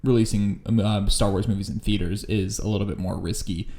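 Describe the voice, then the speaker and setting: A man's voice: lowish voice, steady tone, a little fast, warm tone. A man co-hosting a podcast in a casual, chatty style. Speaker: podcast co-host. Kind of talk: casual chat.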